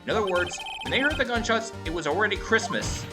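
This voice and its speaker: A woman voicing an earnest young male defense lawyer in a video game, emphatic and argumentative, over loud game music. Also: mid-range voice, expressive, fast talker, recorded from a distance, pitched above the performer's own voice, natural voice. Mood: assertive.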